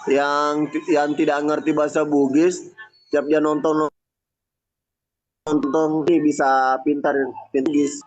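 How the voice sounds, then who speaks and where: A man's voice: fairly high pitch, flat delivery, unhurried, a little mellow. Man explaining in casual chat. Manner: explaining, agreeing with short interjections. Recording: muffled lo-fi audio.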